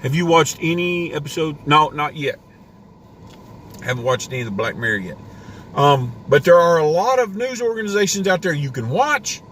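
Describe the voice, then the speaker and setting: A man's voice: on the high side, lively intonation, normal speed, fairly bright tone. Rambling male homesteader host, voice wearing thin, talking from his car over faint noise. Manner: ranting, rambling with restarts. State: voice getting worn. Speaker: homesteading live stream host. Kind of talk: casual live stream rant. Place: inside a parked car.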